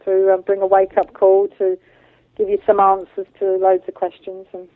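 Woman, medium pitch, earnest and emphatic, colloquial interview guest, narrow phone-like sound, dry. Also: completely flat delivery, normal speed, no room sound. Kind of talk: informal spiritual interview talk.

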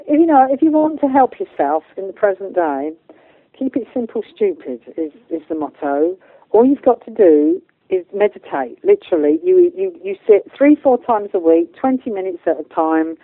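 A woman's voice: mid-range voice, very animated, moderate pace, no room sound. A woman speaking informally as a spiritual interview guest, earnest and emphatic in colloquial language. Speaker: interview guest, medium and channeller. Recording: narrow phone-like line, dry.

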